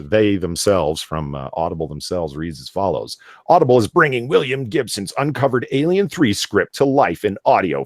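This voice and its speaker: A man hosting a casual live chat, excitable and emphatic, prone to swearing, who sometimes reads aloud. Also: lowish voice, no echo, studio quality. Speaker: podcast host.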